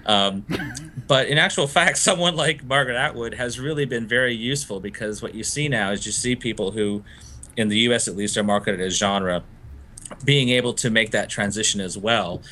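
A man's voice: fairly low pitch, moderate pace, sharp tone, a little hiss or hum. A man from Florida, a novelist being interviewed, talking in a thoughtful, discursive way and laughing easily. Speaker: novelist guest. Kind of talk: interview conversation.

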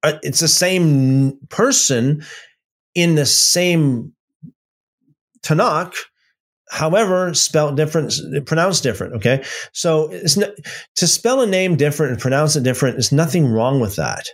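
A man's voice: on the high side, animated, measured pace. A man hosting a livestream Bible study, reading out viewer comments and answering them with many restarts and fillers. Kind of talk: casual livestream Bible talk.

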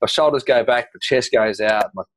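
A man's voice: medium pitch, brisk, a bit bright, no room sound. A man from New Zealand co-hosting a podcast, joking around and laughing often in casual chat. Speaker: podcast co-host.

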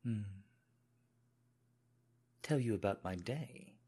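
A man's voice: on the low side, lively intonation, takes it slowly, dark tone, breathy edge, pitched above the performer's own voice, exaggerated voice. A man voicing a devoted, obsequious manservant with a put-on British accent, speaking in a deferential, politely formal way in an intimate audio roleplay. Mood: flustered, apologetic.

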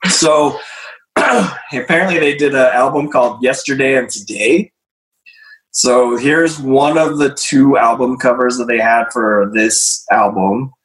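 Guy going through slides, voice going hoarse, lots of ums, roomy echo. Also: mid-range voice, unhurried, fairly bright tone. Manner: hesitant, many fillers. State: losing his voice, clearing throat. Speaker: presenter. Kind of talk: casual group discussion.

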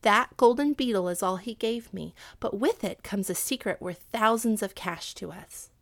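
A woman voicing an excitable, proud widowed mother in a storybook, animated, exclamatory and scolding. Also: medium pitch, moderate pace, vocal fry, no echo, natural voice. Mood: delighted.

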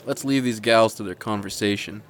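An actor playing a jovial, food-loving uncle, playful and folksy, in an audio drama. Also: male voice, lowish voice, a little fast, a bit bright, lightly acted. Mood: jovial, bustling.

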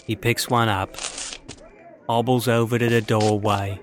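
A man's voice: fairly low pitch, flat delivery, unhurried, background noise, lightly acted. A man playing a wry, florid narrator of a Victorian mystery audio drama, in a theatrical, wordy manner. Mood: dramatic, wry. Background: loud music.

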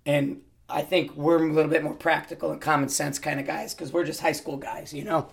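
Hoarse male podcast host, raised pitch, casual and animated. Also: a little fast. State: hoarse, losing his voice. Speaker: podcast host and rifle instructor. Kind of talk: casual interview answers.

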